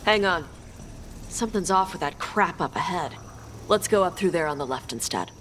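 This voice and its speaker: A woman's voice: on the low side, animated, moderate pace, a bit bright, slight background noise. A woman playing a tough, wry young dragon hunter in a video game, speaking in clipped, blunt phrases. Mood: wary, wry. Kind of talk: video game character acting. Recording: background music under the voice.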